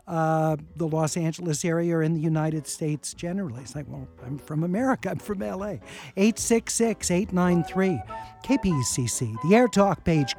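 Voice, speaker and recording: A man's voice: on the high side, a little mellow. A man hosting a radio call-in show, chatting casually and reading listener comments aloud. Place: radio studio. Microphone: studio microphone.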